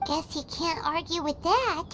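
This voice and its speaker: A woman voicing an excitable, cheeky little fairy companion in a video game, chattering in high squeaky bursts. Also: measured pace, a little hiss or hum, full character voice. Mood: chatty. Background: game music.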